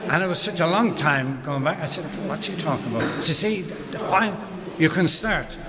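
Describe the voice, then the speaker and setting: Irish male interviewee, moderately high voice, halting anecdotal delivery, very loud crowd behind. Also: expressive, long reverb tail. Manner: halting, repeats phrases. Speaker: radio drama writer. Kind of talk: casual interview answers, anecdotal. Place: packed arts centre at a launch event.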